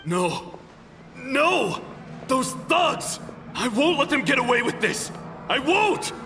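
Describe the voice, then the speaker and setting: A man's voice: extremely high pitch, very animated, takes it slowly, exaggerated voice. A man voicing a carefree guard with a hidden short temper, talking in a breezy, laughing way. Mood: enraged. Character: easygoing young male guard, secretly hot-tempered. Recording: game audio with music underneath.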